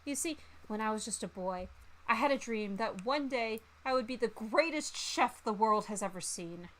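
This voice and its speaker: A woman's voice: on the high side, lively intonation, moderate pace, a bit bright, poor audio. A woman voicing an earnest, dreamy male chef hero from a visual novel in a grandiose, sincere manner.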